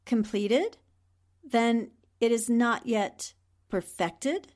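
A woman's voice: a little high, expressive, very slow, darkish tone. A woman playing a bold, indignant newspaperwoman in an emphatic, dramatic 1940s radio drama style. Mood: shocked, accusing.

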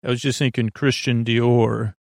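A man hosting a bedtime podcast, talking in a soft, creaky, meandering way with many fillers and restarts. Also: mid-range voice, level tone, moderate pace, no echo, studio quality. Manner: soft, meandering, self-interrupting. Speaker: podcast host. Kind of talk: rambling bedtime podcast talk.

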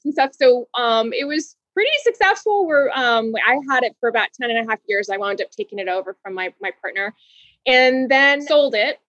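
A woman in her forties from Hawaii, a guest telling her story in an interview, bubbly and quick to laugh, restarting words. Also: on the high side, expressive, a bit bright, dry recording, dull audio.

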